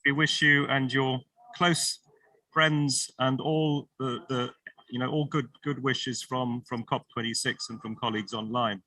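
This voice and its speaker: A man's voice: medium pitch, steady tone, measured pace, sharp tone, dry recording, rough recording. A man moderating a panel discussion, courteous and asking long, rambling questions with restarts.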